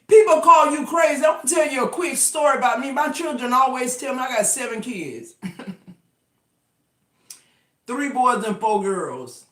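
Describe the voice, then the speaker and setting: 50s guy doing a tarot reading, real high voice, cussing and rambling, roomy echo. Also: fairly bright tone. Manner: emphatic, profane, rambling with restarts. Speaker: tarot reader. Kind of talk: casual tarot reading to camera. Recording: echoey room.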